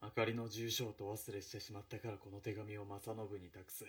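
A man's voice: fairly low pitch, little pitch movement, fairly quick, natural voice. An actor playing a stern, protective young man and loyal grieving friend in a melodramatic radio drama. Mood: grave, insistent. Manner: urgent, firm, emotional. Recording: slightly distant from the mic.

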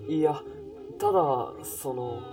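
A man's voice: mid-range voice, huge pitch swings, slow, exaggerated voice. A man playing a reserved, awkwardly tender young soldier in love in a Japanese audio drama. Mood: shy, flustered. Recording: distant mic, music clearly behind.

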